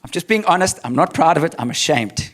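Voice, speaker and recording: South African pastor preaching in English. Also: male voice, on the high side, animated, a little fast, fairly bright tone. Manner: candid, self-deprecating storytelling. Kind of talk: sermon with live interpreter.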